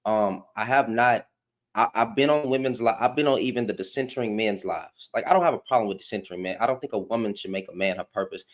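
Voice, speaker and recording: A man's voice: fairly low pitch, quick pace. A man from Houston with a Southern accent, hosting a live panel discussion in a rambling, slangy way with many restarts. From Houston, Texas. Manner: rambling, repeats words, slangy.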